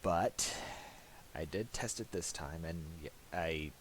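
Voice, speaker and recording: A man narrating a casual, unscripted software tutorial, halting and thinking aloud as he goes. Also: low voice. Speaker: tutorial presenter. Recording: faint hiss and mouse clicks.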